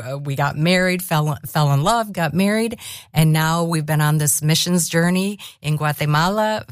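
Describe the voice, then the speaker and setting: A woman's voice: low-pitched, dry recording, clean studio sound. A middle-aged woman from South Korea, a missionary and author, sharing her faith story in an earnest, reflective way with frequent laughter. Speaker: interview guest, missionary author. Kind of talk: personal testimony in a faith interview.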